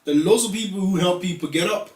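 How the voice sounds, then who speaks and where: An assertive man holding his ground in a lively group discussion. His voice sits a little higher than most men's. He sounds set back from the mic in a roomy, echoey space. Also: fast. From Nigeria. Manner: assertive, talks over others to make his point. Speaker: talk show guest. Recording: roomy studio, voice heard from a distance.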